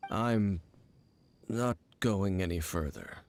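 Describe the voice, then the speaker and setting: An actor playing a grave, aloof, world-weary swordsman in a video game, measured and serious, with music behind him. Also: low voice, noisy background. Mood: terse, wary.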